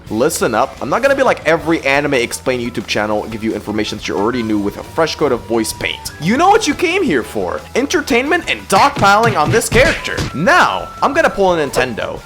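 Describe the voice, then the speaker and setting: A young adult YouTube host roasting in a sarcastic, mocking scripted commentary, jumping between loud outbursts and low mutters.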